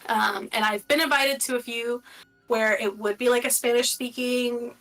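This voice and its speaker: A woman's voice: a little high, fairly even intonation, normal speed, a bit bright, faint music behind, poor audio. A woman from Latin America, a guest in a casual interview, talking candidly and reflectively with many filler words.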